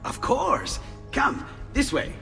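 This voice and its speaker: Game role: eccentric, effusive Renaissance inventor, Italian accent, hugely animated pitch, reverberant room. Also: male voice, moderate pace, soft background music. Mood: delighted, flustered. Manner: effusive, distractible, muttering. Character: excitable, kindly Renaissance inventor, absorbed and eccentric. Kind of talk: video game cutscene acting.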